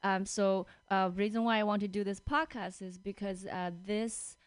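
A woman's voice: mid-range voice, steady tone, moderate pace, clean tone, dry recording. A woman from China hosting a casual radio podcast, talking with many fillers and frequent laughs. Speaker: podcast host. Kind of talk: casual chat. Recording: dry radio booth.

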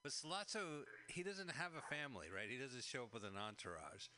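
A man's voice: mid-range voice, animated, a little fast, bright, forceful tone, slight background noise. A man co-hosting a radio show, chatting casually and jokily about a film he is watching. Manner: jokey, rambling, chuckling asides. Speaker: radio co-host. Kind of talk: casual movie commentary chat.

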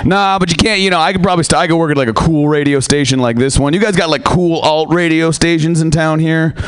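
A man's voice: on the high side, fast talker, clean tone. A man doing a rambling, self-deprecating stand-up comedy set, laughing at his own jokes. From Norwegian-American. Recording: noisy live radio broadcast.

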